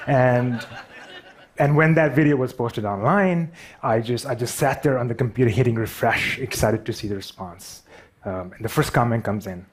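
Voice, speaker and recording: Guy with a pakistani accent, bit husky, stuttery, jokes at his own expense. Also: mid-range voice, normal speed. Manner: stutters, self-deprecating. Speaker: speaker. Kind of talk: storytelling talk.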